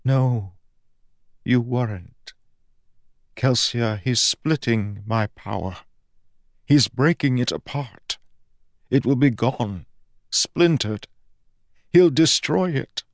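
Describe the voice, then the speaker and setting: A man's voice: mid-range voice, no room sound, clean studio sound, voice pushed up. A man voicing a fading, solemn ancient god. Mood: sorrowful. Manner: soft, slow and grave, fading.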